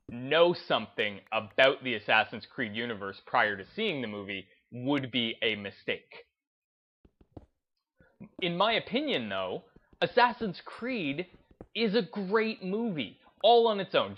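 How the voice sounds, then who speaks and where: A man speaks at a markedly slow, deliberate pace with a medium pitch. He gives opinionated, off-the-cuff commentary like a gaming video host, landing his points emphatically. The recording is slightly dull and lo-fi. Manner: emphatic, deliberate, stressing points with short declarations.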